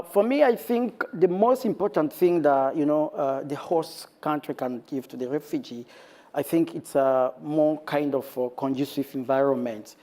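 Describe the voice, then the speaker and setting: A man's voice: mid-range voice, warm tone. A man living in Uganda speaking earnestly on a conference panel as director of a refugee organization, with many fillers. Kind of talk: panel discussion. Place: conference stage.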